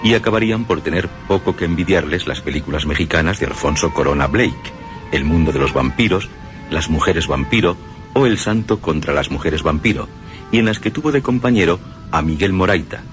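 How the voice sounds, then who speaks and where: Deep guy reading film commentary, music clearly playing under it. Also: expressive, fairly quick. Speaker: radio commentator on horror films.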